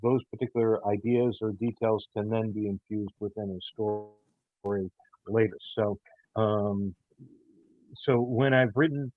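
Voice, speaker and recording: Low-voiced man on a phone-like line. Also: fairly even intonation, unhurried. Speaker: show writer being interviewed. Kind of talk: casual interview talk. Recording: muffled narrow phone-like line.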